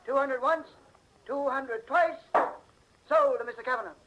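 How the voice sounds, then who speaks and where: An actor playing a brisk, insistent auctioneer, chanting bids in a projecting 1940s radio drama style. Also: male voice, very high-pitched, sing-song, gravelly, heavy background noise, full character voice. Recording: old radio, crowd murmur behind.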